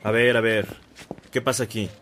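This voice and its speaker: Radio drama: affable, commanding mayor male actor, fairly low voice, self-assured, slight background noise. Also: level tone, normal speed, fairly bright tone, natural voice. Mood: stern. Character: authoritative, affable small-town mayor. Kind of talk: Spanish-language radio drama acting.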